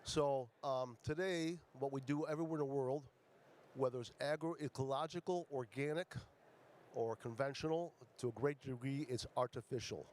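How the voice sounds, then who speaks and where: A man from Ecuador giving a conference pitch as a startup founder, speaking haltingly with many fillers and restarts. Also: darkish tone, a little hiss or hum.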